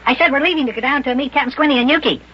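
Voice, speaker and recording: A man's voice: very high voice, rapid-fire. A man voicing a small, boyish, grumbling and indignant elf in a 1950s children's radio serial. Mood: impatient. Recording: noisy, hissy, muffled old radio recording.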